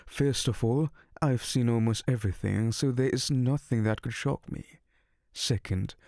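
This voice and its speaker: A man's voice: lowish voice, creaky, darkish tone, no room sound. A man voicing a seductive, teasing male incubus in an ASMR roleplay, close on the mic. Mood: playful.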